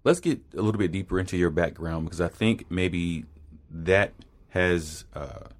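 A man interviewing two guests, asking measured, probing questions. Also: low voice, animated.

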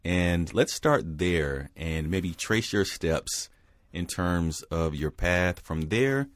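A male interviewer asks his guest about her path in a curious, inviting way. His voice is very deep. The sound is close and dry. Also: expressive, slow pace, no echo. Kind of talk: interview questions.

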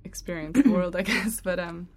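Young woman, lower voice, lots of um, easy chatty guest. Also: normal speed. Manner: chatty, filler-heavy with light laughs. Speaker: interview guest, project founder. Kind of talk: casual interview answers.

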